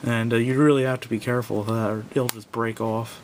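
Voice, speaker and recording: Male reviewer, medium pitch, casual and unscripted, halting and self-correcting, home recording with slight rumbling noise. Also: level tone. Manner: hesitant, rambling, self-correcting. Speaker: product reviewer. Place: indoors at a wooden table.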